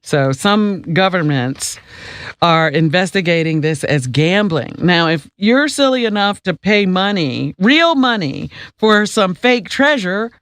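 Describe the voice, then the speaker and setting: Woman, radio news reader and co-host, deliberate emphatic delivery, wry asides, very dry studio sound. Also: on the low side, sing-song, no echo. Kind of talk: news reading with casual banter.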